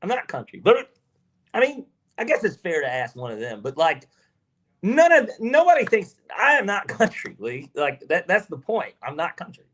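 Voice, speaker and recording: A man's voice: sits high, fairly bright tone. A man from Georgia with a Southern accent, co-hosting a casual sports podcast, talking emphatically and swearing freely. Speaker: podcast co-host.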